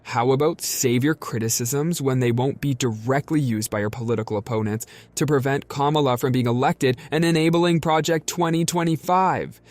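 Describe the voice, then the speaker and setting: Mid-pitched man giving pointed commentary. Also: moderate pace, few pauses, a little mellow.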